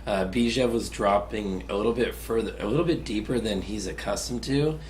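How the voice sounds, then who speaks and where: Low-voiced raspy male co-host with a cold, laid-back and analytical, faint hiss. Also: brisk. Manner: relaxed, analytical, hesitant restarts. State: getting over a cold. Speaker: podcast co-host. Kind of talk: casual sports chat.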